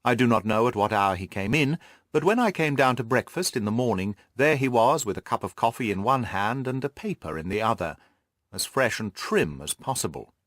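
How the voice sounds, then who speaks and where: A man's voice: medium pitch, lively intonation, brisk, a bit bright, no room sound, clean studio sound. A man reading classic detective fiction aloud as scripted audiobook narration.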